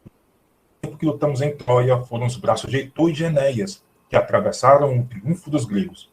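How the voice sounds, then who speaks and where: A man's voice: medium pitch, moderate pace, slight background noise, close to an everyday voice. A man playing an earnest veteran warrior who counsels formally and persuasively in an epic audio drama. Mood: earnest warning.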